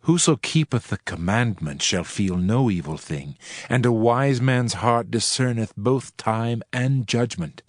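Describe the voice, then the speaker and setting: A man narrating a dramatized scripture reading in a solemn, measured way with archaic phrasing. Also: mid-range voice, no room sound, clean studio sound.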